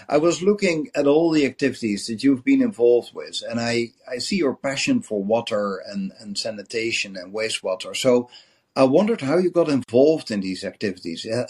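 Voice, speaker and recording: A man hosting a podcast, introducing his guests and the topic in an earnest, measured way. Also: mid-range voice, expressive, a little mellow, breathy edge. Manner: earnest, measured, with occasional restarts. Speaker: podcast host.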